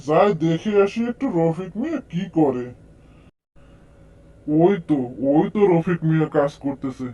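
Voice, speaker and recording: Man playing vengeful landlord, cartoon villain, muttering and plotting, mellow fairly high male voice. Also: expressive, a little slow, lo-fi sound. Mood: scheming, grumbling. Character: scheming, spiteful village landlord, sneaky and vengeful. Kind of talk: amateur cartoon voice acting.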